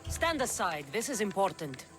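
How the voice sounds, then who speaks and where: A woman's voice: medium pitch, big pitch swings, normal speed, fairly bright tone, soft background music. An actress playing a bold, blunt female mercenary in a video game, speaking firmly and with command. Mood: resolute. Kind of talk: video game cutscene acting.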